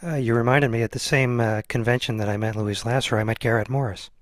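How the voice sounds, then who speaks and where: Fast-talking man in a dry, close recording. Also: medium pitch, fairly even intonation, no room sound. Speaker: interviewer, podcast host. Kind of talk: casual conversational interview.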